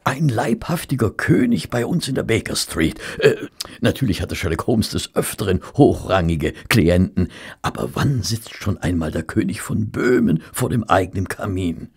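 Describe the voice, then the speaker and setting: Polite flustered gentleman sidekick, audio drama, really raspy, lively pitch. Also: normal speed, heavily acted. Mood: reflective. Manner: polite, hesitant, earnest. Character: genteel, easily flustered male companion of a detective. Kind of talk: German audio drama acting and narration.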